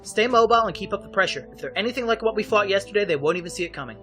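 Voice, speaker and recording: Audio drama role: sharp, level-headed young man tactician, actor-played, orderly speech, light music behind. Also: sits high, expressive. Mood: composed, decisive. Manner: brisk, practical, orderly. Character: quick-witted, composed young male tactician. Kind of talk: fan audio drama acting.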